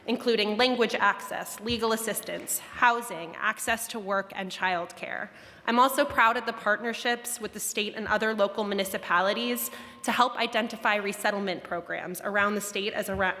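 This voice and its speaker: Woman official, bright and slightly high, steady formal reading; reverberant chamber. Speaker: city agency director testifying. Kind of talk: reading prepared testimony. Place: large council chamber. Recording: hall reverb, faint room noise.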